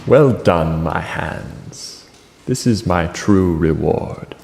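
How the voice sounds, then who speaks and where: Man acting a gravelly, vengeful tragic king. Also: low-pitched, expressive, darkish tone, full character voice. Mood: triumphant. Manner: deliberate, theatrical, savouring each phrase. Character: vengeful, gloating king, cruel and wrathful. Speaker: stage actor. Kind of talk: classical verse tragedy acting, declaimed monologue. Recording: long reverb, guitar music underneath.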